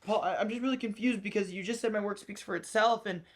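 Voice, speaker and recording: A man's voice: high voice, quick pace, lightly acted. A man playing a defensive, needy office employee who stammers as he argues in a comedy sketch. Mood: confused, apologetic.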